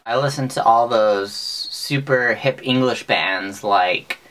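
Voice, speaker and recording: A young man with an American accent talking casually to camera in a deadpan, mock-serious, sweary comic monologue, recorded in a small bedroom. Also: medium pitch, takes it slowly, a little hiss or hum. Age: young adult. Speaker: vlogger. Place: small bedroom with bunk bed, indoors.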